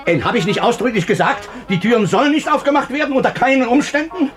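A man's voice: extremely high pitch, fast, soft background music. An actor playing an anxious, irritable, stern older father in a 1960s radio drama. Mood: agitated, impatient. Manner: agitated, commanding, nagging.

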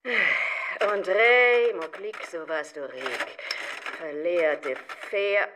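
An actress playing an excitable witch who programs computers, in a comic rhyming radio play, speaking theatrical northern Low German. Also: background noise. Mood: exasperated. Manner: theatrical, drawn-out incantations, shrieks.

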